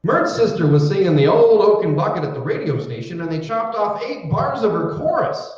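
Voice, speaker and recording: Man acting a blustering folksy windbag, 1940s radio comedy, animated highish pitch, echoey distant stage. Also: normal speed, dull audio, a little hiss or hum. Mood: chatty. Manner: blustery, chatty, theatrical.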